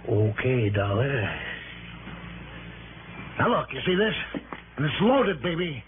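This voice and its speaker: An actor playing a brash, cornered American murder suspect, blustering and slangy, in a 1960s radio drama. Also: male voice, mid-range voice, big pitch swings, slow. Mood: cornered, menacing. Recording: tinny, faintly noisy old radio recording.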